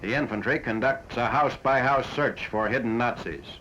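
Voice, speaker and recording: A man's voice: mid-range voice, sharp tone. Muffled 1940s male newsreel narrator. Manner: formal, factual, measured delivery. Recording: dull, noisy 1940s film soundtrack.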